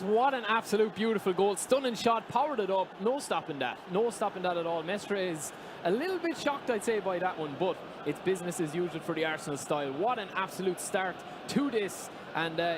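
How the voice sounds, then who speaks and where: A man from Ireland giving excited, chatty color commentary on live esports football. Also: sits high, fairly quick, noisy background.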